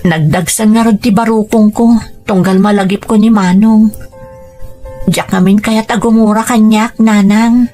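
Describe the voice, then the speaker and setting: A woman's voice: medium pitch, fairly even intonation, measured pace, dark tone, faint music behind, natural voice. A woman playing a hurt, devoted grown daughter in a sentimental family drama. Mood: hurt, pleading. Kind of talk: sentimental drama phone dialogue.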